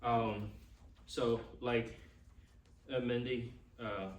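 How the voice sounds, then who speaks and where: A man's voice: medium pitch, little pitch movement, drawn-out words, dull audio. Taiwanese-accented man teaching in an echoey room. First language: Chinese. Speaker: class instructor. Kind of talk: informal teaching and personal introduction. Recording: echoey room, distant mic, faint noise.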